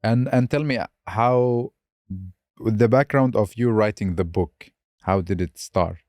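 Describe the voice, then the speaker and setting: A man's voice: lowish voice, measured pace, a little mellow, no room sound, studio quality. A man hosting a podcast interview, encouraging his guest with frequent short acknowledgements. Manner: encouraging, many short "mm" and "yeah" backchannels. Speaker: podcast host.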